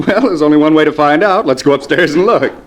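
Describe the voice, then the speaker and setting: An actor playing a devoted husband drawn to a haunted house, in theatrical old radio drama style. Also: male voice, a little high, fast talker, lightly acted. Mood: eager, fond. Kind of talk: 1950s radio drama acting. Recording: noisy vintage radio drama recording.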